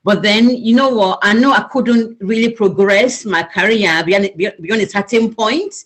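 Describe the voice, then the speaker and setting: A woman's voice: mid-range voice, unhurried. A woman from Nigeria, speaking as a panel guest in a candid, conversational way with frequent restarts.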